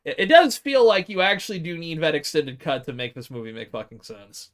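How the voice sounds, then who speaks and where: A man casually discussing a film with friends, talking in a halting, rambling and sweary way. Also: on the high side, very animated, a bit bright. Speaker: podcast co-host.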